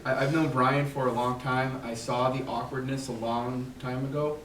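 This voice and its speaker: A man's voice: mid-range voice, level tone, moderate pace, fairly bright tone. A man in the audience giving his view, hesitant but earnest. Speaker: audience member. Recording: echoey room, distant mic, clear noise.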